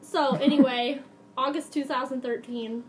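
A woman's voice: high-pitched, steady tone, a little slow, a little hiss or hum, poor audio. A young adult woman hosting a podcast, telling a true crime story in a casual, conversational way.

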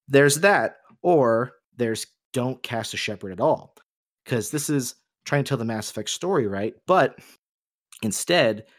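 A man's voice: mid-range voice, expressive, unhurried, no room sound, studio quality. A man co-hosting a casual, opinionated discussion, explaining his points at length in a rambling, emphatic way. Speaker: podcast co-host.

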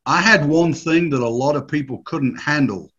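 Fifties man, husky, rambling nostalgic storyteller, muffled call audio. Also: a little high, normal speed, a bit bright. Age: 50s. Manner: rambling, nostalgic, laughs often, many restarts. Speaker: guest, former footballer. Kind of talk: casual reminiscing chat.

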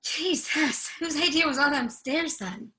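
A woman's voice: high voice, measured pace, sharp tone. An actress playing a brash, blunt, teasing Irish woman with a thick brogue in a stage-play reading. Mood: weary, wry. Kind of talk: readers theatre stage-play acting.

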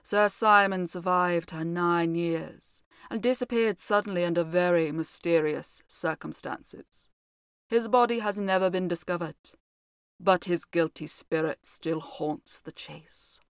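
A woman voicing a grim, mysterious old housekeeper who speaks low, slowly and in a hush. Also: clear, resonant tone, no room sound, clean studio sound. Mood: ominous. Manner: low, slow, hushed.

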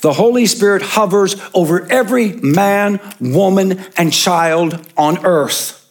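A man's voice: sits high, measured pace. A male pastor preaching a sermon in church, stressing and repeating his main points for the congregation.

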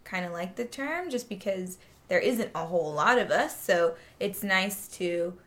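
A young woman from Japan talks as a guest in a casual conversation. Her voice sits at a middle pitch. She is animated, slipping into imitations of people when she quotes them. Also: unhurried. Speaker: interview guest.

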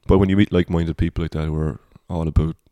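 This is a Cork Irish man with a deep baritone voice that sits very low. His delivery stays level, with little rise and fall. He sounds reflective and wanders through his thoughts in a casual podcast chat, recorded close with a dry sound. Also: level tone, fast, dark tone, dry recording. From Cork, Ireland. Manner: reflective, rambling. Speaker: singer-songwriter guest.

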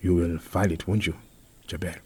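Male narrator as dignified mayor, Kenyan accent, careful enunciation, husky, pompous, storybook. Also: lowish voice, moderate pace, darkish tone. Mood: soft. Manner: enunciates every word, grandly condescending. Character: pompous, dignified city mayor presiding over council.

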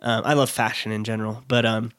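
A man in his late twenties talking as an interview guest, thoughtful and halting, often restarting. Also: on the low side, level tone, a bit bright, no room sound. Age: late 20s. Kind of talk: casual interview chat.

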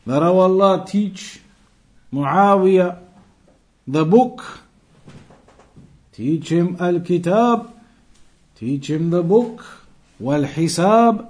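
A man giving an Islamic lesson as a teacher, reciting texts and explaining them phrase by phrase in a deliberate, repetitive way. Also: on the high side, a little mellow. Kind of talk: religious lesson, reciting Arabic texts then translating phrase by phrase.

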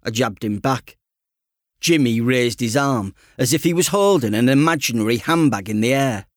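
Man, audiobook narrator, first-person teenage story, conversational, self-deprecating, animated intonation, dry sound. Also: mid-range voice, moderate pace, dry recording. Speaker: author reading his own audiobook.

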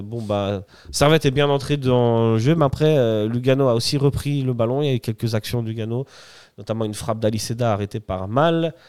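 A man talks football on a podcast, dropping jokes and hesitations as he works through lineups. His voice is smooth and clear, recorded close and dry. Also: medium pitch, darkish tone, no echo. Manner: jokey, many hesitations, reads team lineups. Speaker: podcast co-host. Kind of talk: casual football analysis chat.

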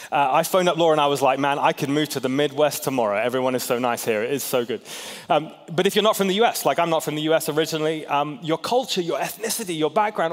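Chatty man preaching in a big hall. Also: fairly high pitch. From Hong Kong. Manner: chatty, self-deprecating, asks the crowd questions. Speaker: pastor. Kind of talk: sermon. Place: large church hall. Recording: long hall reverb.

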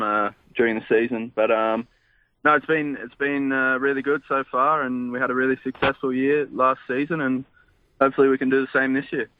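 A man's voice: mid-range voice, no room sound. Young man on a phone line. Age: young adult. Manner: easygoing, laughs, hesitant fillers. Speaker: phone-in guest athlete.

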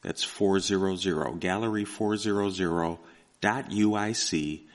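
A man hosting, reading out event announcements in a slow, relaxed way with many fillers. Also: sits low. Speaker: radio host. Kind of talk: casual announcements.

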